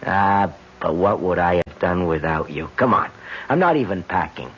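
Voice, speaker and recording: A man's voice: low-pitched, lively intonation, moderate pace, a bit bright, slightly rough, exaggerated voice. An actor playing a scheming, theatrical male con artist who poses as his own wife in a radio drama. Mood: flippant. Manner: theatrical, swinging into a put-on feminine register. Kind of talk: 1970s radio drama acting. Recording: muffled old radio recording, faint noise.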